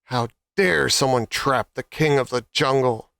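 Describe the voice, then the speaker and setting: A man's voice: mid-range voice, steady tone, measured pace, gravelly, a bit bright, smooth, dry recording, clean studio sound. A man voicing a proud, scornful lion, king of the jungle, in a children's fable. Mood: indignant. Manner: sneering, haughty.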